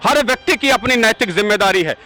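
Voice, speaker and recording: Male campaigner, very high bright voice, indignant street interview. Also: expressive, fast talker. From Azamgarh, India. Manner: forceful, rhetorical, indignant questioning. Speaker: yajna organizer, campaigner. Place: outdoors at a busy road junction.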